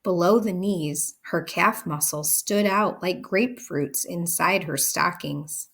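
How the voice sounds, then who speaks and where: Very slow-paced woman reading a story. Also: lowish voice, vocal fry, fairly bright tone. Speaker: storybook reader. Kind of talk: expressive read-aloud narration.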